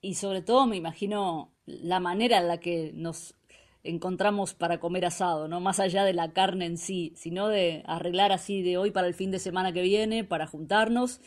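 A woman's voice: on the low side, steady tone, moderate pace. A woman radio host with an Argentine accent, asking conversational, curious questions. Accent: Argentine Spanish. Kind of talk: radio interview.

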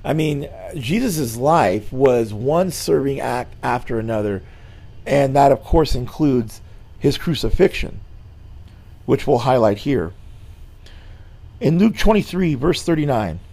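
A slightly hoarse middle-aged man hosting a weekly church show, giving an earnest, informal Bible lesson with many fillers and restarts. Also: medium pitch, animated, unhurried, warm tone. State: slightly hoarse, losing his voice.

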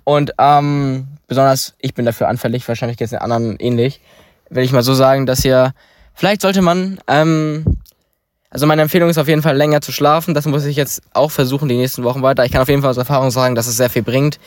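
A man's voice: mid-range voice, fairly even intonation, quick pace, dry recording, clean studio sound. A teenage young man chatting casually as podcast co-host, rambling and hesitant with many filler sounds. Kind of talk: casual chat.

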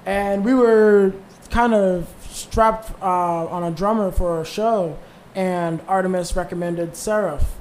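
A man's voice: sits high, fairly even intonation, takes it slowly, darkish tone, a little hiss or hum. Easygoing young man in his twenties. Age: 20s. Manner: easygoing, rambling storyteller with fillers. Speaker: band leader and vocalist. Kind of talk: casual interview chat.

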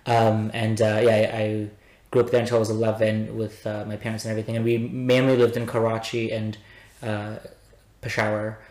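A chatty young man in his twenties talks casually as a podcast guest, peppering his sentences with "um" and easy laughter. His voice sits low and fairly flat, and the room around him rings with echo. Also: brisk. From born in Afghanistan, raised in Pakistan and Canada. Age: 20s. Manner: chatty, laughs often, many "um"s. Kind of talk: casual chat. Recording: echoey room.

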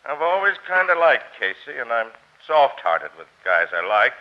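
A man's voice: mid-range voice, big pitch swings, normal speed, muffled recording, lightly acted. A man playing a menacing, cool gang boss who talks in threatening slang in an old-time radio crime drama. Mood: cold, menacing. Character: menacing, cool, double-cross-hating male gang boss. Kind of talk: 1940s radio acting.

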